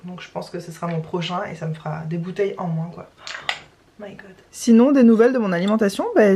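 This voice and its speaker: A woman's voice: mid-range voice, a little mellow. Chatty French-speaking woman, rambling. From France. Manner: chatty, rambling with many fillers. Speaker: vlogger. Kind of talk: casual chat to camera.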